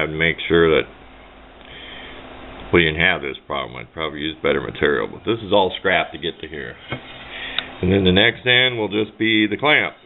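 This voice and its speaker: A man giving casual hands-on commentary at a workbench, talking in a folksy, rambling way. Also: sits low. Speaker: hobbyist radio video maker. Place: indoor workbench. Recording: tinny, band-limited camera mic with noise.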